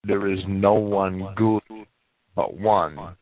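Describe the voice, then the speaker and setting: A man's voice: deep voice, slow pace. A man giving a lecture-style radio talk that explains and cites sources at length, often repeating words as he restarts. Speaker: radio program speaker answering critics. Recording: tinny phone-line radio audio.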